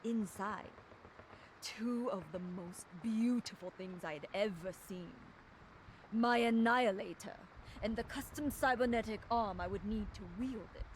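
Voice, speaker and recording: A woman's voice: mid-range voice, unhurried, slight background noise, lightly acted, poor audio. An actress playing a proud, fierce young woman enforcer, delivering a solemn, controlled dramatic monologue for a video game audio log. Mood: solemn, reverent.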